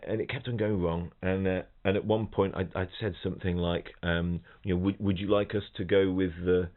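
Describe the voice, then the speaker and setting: A middle-aged musician talks in a low voice, chatty and full of restarts. He sings rhythms and imitates the people in his stories. The sound is narrow and tinny, like a phone call. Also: level tone, brisk. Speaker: guest, professional trombonist. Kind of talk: casual podcast chat, anecdotes. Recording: thin phone-like audio.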